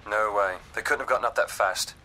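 An actor playing a dry, brisk agent handler giving instructions. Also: male voice, fairly low pitch, very noisy, natural voice.

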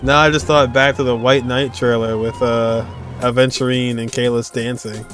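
A young man casually commenting on a video game as he watches, relaxed and chatty. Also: mid-range voice, moderate pace. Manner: relaxed, chatty, reacting aloud. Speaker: gaming reaction streamer. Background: game music.

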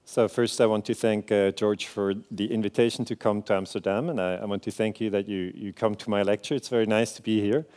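A man in his forties with a Swiss German accent delivers a conference keynote on a theater stage, speaking in an earnest, conversational way with frequent filler sounds. His voice sits somewhat low, and he explains points plainly to the audience as a lecturer. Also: steady tone, fairly quick, few pauses, darkish tone. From Switzerland. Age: 40s.

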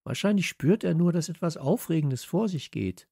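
Casting: assertive young girl, children's story, raised lilting pitch played by a man. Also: expressive, moderate pace, creaky, warm tone, slightly rough, no room sound, studio quality, exaggerated voice. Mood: sceptical, challenging. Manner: pert, challenging. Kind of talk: children's audiobook character voice.

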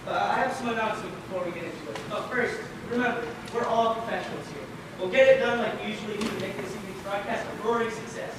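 A man's voice: sits high, fast talker, lightly acted. A young man playing a fussy, self-important radio show boss in an amateur stage play. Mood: brisk, officious. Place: theatre stage. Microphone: distant stage microphones. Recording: echoey stage from far off, noisy.

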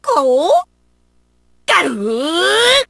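High-pitched wild feral child warrior. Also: female voice, big pitch swings, very slow, vocal fry, pushed and bright, no echo, studio quality, heavily acted. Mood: fierce, blunt. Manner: blunt broken phrases with beast-like growls. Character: wild, simple-minded feral child warrior who growls. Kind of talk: Japanese video game battle voice lines.

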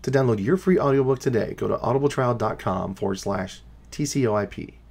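A man's voice: medium pitch, brisk, creaky, a little mellow. A man reading a scripted sponsor advertisement as a podcast announcer. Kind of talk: scripted ad read.

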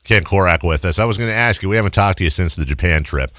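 A man's voice: sits low, fast talker, fairly bright tone, no room sound. A man hosting a sports radio show, asking chatty, meandering questions into a close studio microphone. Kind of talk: casual sports radio interview. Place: small padded radio studio.

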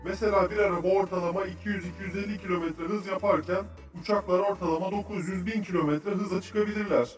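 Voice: mid-range voice, fairly even intonation, fast, hardly any pauses, recorded from a distance, natural voice. An actor voicing a knowledgeable, cheerful cartoon researcher who explains things patiently and articulately to children. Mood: helpful, informative. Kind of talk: children's educational cartoon dubbing. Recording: roomy echo, music behind.

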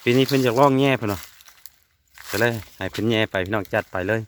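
A man's voice: on the low side, fast, slight background noise. A man with an Isan Thai accent chatting casually to viewers in a vlog, outdoors among rural trees. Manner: chatty, keeps calling out to viewers. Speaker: vlogger.

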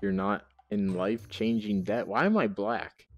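A young man chatting casually while gaming, animated, joking and swearing freely. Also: lowish voice, unhurried, highs cut off, music in the background. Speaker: gamer in a group session. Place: online VR game voice chat.

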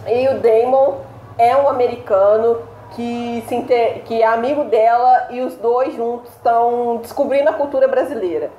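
Young woman, warm mellow tone, chatty enthusiastic, echoey room. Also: a little high, normal speed, lo-fi sound, a little hiss or hum. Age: young adult. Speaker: co-host. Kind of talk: casual chat. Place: indoor room against a plain wall. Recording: roomy echo.

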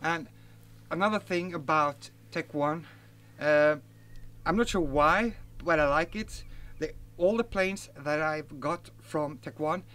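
A man's voice: a little high, a bit bright, a little hiss or hum. A man in his forties, a native Norwegian speaker, chatting casually outdoors in a garden as he unboxes and reviews a model plane, with plenty of fillers and self-corrections. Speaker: RC hobby reviewer.

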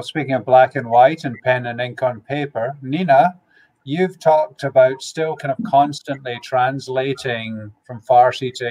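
A man's voice: medium pitch, rough recording. A man hosting an art panel over a video call, asking conversational follow-up questions. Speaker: host and moderator. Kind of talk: moderating a panel.

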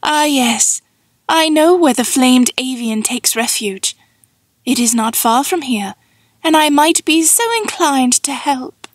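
A woman's voice: sits high, slow, dry recording, studio quality. A woman playing an ancient, all-knowing sphinx in a fantasy audiobook, speaking in a dreamy, formal drone with cool menace. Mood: coolly inviting. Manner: dreamy, droning monotone, formal and cryptic.

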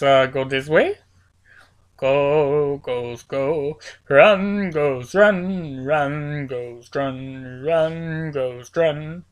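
A woman with a cold, her voice cracking, giving playful, rambling commentary over a video game with lots of fillers. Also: low voice. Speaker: gaming channel host.

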